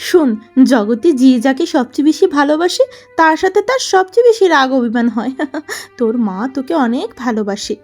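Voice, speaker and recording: Woman acting a long-suffering elder wife, gentle, plaintive, patient, melodramatic cartoon voice, background music. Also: high voice, sing-song, moderate pace. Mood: tender, soothing.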